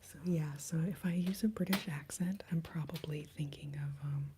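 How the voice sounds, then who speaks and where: An American woman in her late thirties whispering in a breathy voice, chatting casually and playfully as an ASMR live streamer. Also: deep voice, moderate pace, background noise. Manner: playful, self-deprecating. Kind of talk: whispered ASMR, casual rambling chat with viewers.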